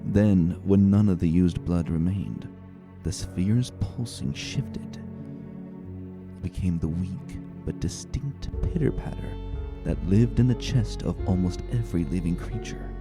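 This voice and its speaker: A man reading a story as dramatic scripted narration, measured, deliberate and suspenseful. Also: sits low, vocal fry. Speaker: narrator of a fan fiction reading. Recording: roomy voice, faint music bed. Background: faint music.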